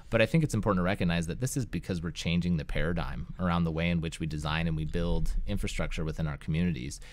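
A man with a very low, deep voice talks through city planning issues at a steady, considered pace. He co-hosts a local government discussion show, explaining things thoughtfully and pausing on fillers as he goes. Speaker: city planning director, co-host. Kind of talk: casual policy discussion.

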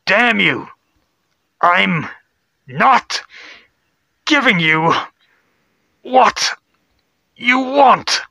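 A man playing a tense, hot-headed spaceship crewman, snappy and forceful, in a sci-fi horror audio story. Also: sits high, animated, drawn-out words, creaky, pauses often, dry recording, clean studio sound, pitched above the performer's own voice, heavily acted. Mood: furious, desperate.

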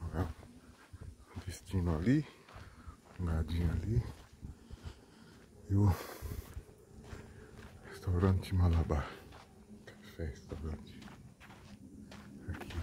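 A man's voice: sits low, takes it slowly, long pauses. A man narrating casually as he walks and vlogs outdoors, pointing things out along a rural trail. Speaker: vlogger on a walk. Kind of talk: casual walking vlog narration. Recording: noisy outdoor recording.

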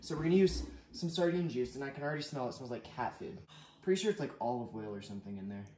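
A man's voice: medium pitch, lively intonation, fast talker, warm tone, slight background noise. Teen boy, mock cooking-show host, sarcastic and jokey; echoey kitchen with clattering pots. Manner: sarcastic, jokey, playfully enthusiastic about gross food. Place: home kitchen, indoors. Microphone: camera across the counter.

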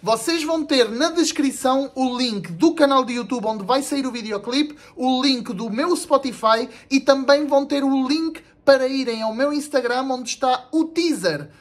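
Young Portuguese man, blunt and animated. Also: extremely high pitch, moderate pace, hardly any pauses. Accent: European Portuguese. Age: young adult. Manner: animated, slangy and blunt, with swearing and repetition for emphasis. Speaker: video creator and musician. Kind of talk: casual vlog announcement to camera. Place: small room indoors at home.

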